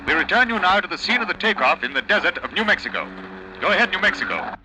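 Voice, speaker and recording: An actor playing a grandiose, emphatic radio broadcaster in a 1940s drama newscast. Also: male voice, high voice, wildly swooping pitch, fast, noisy background. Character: grandiose, excited male radio broadcaster at London desk.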